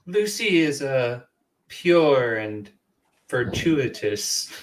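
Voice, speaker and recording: A woman's voice: very deep voice, very animated, extremely slow, a little hiss or hum, heavily acted, poor audio. A woman playing a prim, wistful young lady confiding in her diary in a gothic play. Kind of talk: amateur script read-through, diary monologue.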